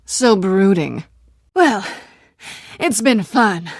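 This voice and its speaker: A fearless female thief in a video game. She teases and taunts with flirtatious ease, in a medium-pitched voice recorded dry and close. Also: lively intonation, very slow, no room sound, full character voice. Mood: teasing, flirtatious. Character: sly, teasing, fearless female cat burglar.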